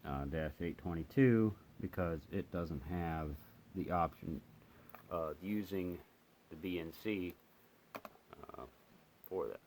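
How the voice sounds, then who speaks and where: A man with a very low voice talks through a casual hands-on demo. He explains in an unscripted, halting way, like a hobby reviewer showing gear. There is clear noise behind him. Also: a little mellow. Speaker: host of a hobby radio review channel. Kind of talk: casual hands-on product demonstration. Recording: noticeable background noise.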